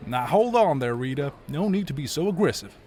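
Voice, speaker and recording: A man's voice: a little high, lively intonation, brisk, a little mellow, slight background noise, lightly acted. A man playing a courteous, folksy wasteland mayor in an audio drama, polite and conciliatory. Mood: placating. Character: genial, courteous small-town male wasteland mayor.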